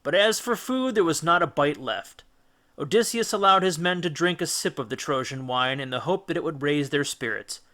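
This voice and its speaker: A male audiobook reader with a slightly high, crisp-edged and forceful voice. He narrates a children's classic dramatically, in an expressive and deliberate storytelling manner.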